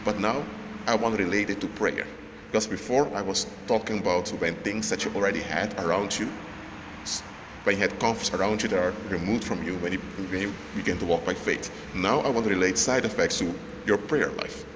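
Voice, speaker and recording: A man with a low voice teaches on faith, loud and emphatic, with halting restarts. His voice carries a long reverberant tail. Clear traffic noise runs behind him. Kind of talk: spoken teaching monologue.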